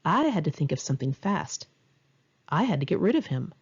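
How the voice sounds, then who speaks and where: A woman's voice: low voice, big pitch swings, fairly quick, husky. A woman reads her own memoir aloud in a conversational, candid and wry way. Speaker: author reading a memoir chapter. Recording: dull, lo-fi home recording.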